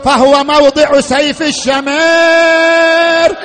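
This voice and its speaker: Grieving sister in a religious lament, voiced by a man; pleading, fearful, very high, narrow chanting melody. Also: little pitch movement, slow pace, a bit bright, a little hiss or hum, heavily acted. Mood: tearful dread. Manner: pleading, sorrowful, half-chanted. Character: grieving, fearful, devoted sister bidding farewell.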